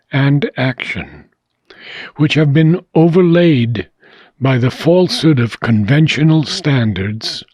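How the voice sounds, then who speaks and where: A man reading a philosophical book aloud, in deliberate, measured phrases with frequent pauses. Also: mid-range voice, darkish tone, no echo. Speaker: audiobook reader.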